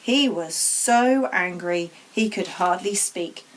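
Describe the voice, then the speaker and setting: A woman's voice: lowish voice, takes it slowly, poor audio. A woman narrating a children's storybook aloud as a teacher would to pupils.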